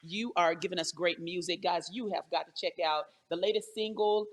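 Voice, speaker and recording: A woman's voice: fairly low pitch, steady tone, normal speed. A woman hosting a casual interview, enthusiastic and full of emphatic affirmations. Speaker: interview host. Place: remote virtual interview.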